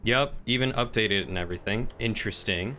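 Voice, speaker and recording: A man talking casually on a gaming livestream, chatty and playful, thinking out loud as he goes. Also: lowish voice, a little fast, slight background noise.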